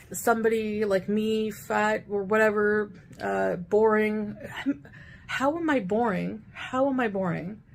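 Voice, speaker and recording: A woman's voice: mid-range voice, creaky. A woman talking to her camera in a defensive, self-justifying way, played back in a reaction stream. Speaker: video creator.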